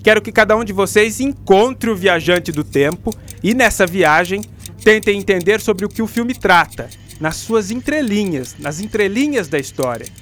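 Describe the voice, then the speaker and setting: An actor playing a patient, enthusiastic school teacher with a Brazilian accent, lecturing in a radio drama. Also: male voice, sits high, expressive, moderate pace, a bit bright, slight background noise. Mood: instructing, reassuring. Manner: didactic, explanatory.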